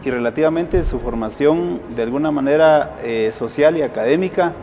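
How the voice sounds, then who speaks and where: A man's voice: on the high side, moderate pace, a little mellow. Interview guest, Guatemalan man, hesitant with many fillers, long reverb, faint music. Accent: Guatemalan Spanish. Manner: hesitant, frequent "eh" fillers and restarts. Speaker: guest, civil society activist. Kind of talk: interview answers.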